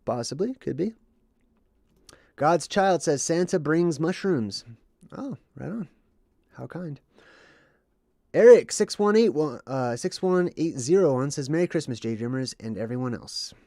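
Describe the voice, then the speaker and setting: A man's voice: on the high side, expressive, a little slow, creaky, long pauses, dark tone, no echo. Man with a cold, dry close sound. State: under the weather, mildly congested. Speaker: livestream host. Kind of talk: explanatory monologue with chat reading.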